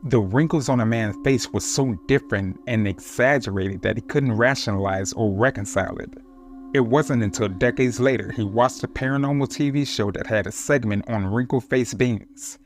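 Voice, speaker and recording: A man narrating true-encounter horror stories in a steady, measured reading voice. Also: mid-range voice, faint music behind. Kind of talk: scripted horror story narration.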